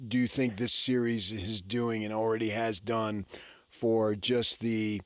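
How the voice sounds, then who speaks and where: A man's voice: on the low side, level tone, unhurried, no echo. American man hosting opinionated sports radio, dry sound.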